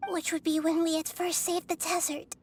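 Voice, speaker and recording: A woman voicing a small, calm and wise young goddess who explains things gently. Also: very high-pitched, normal speed, slight background noise. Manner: calm, soft-spoken and explanatory, sometimes whispery.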